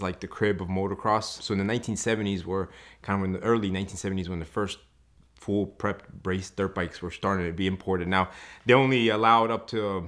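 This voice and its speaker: A man in his thirties with a fairly low voice, recounting his own story as a guest on a show. He talks with eager energy, leaning on "you know" and "like" and restarting his phrases as he goes. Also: a bit bright. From Ecuador. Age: 30s. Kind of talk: telling his life story to a host.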